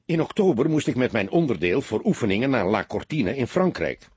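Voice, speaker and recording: A man's voice: medium pitch, big pitch swings, a little fast, a little mellow, husky, no echo. A man voicing an anxious, earnest young soldier who speaks hesitantly and repeats words in an audiobook story. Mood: earnest explaining.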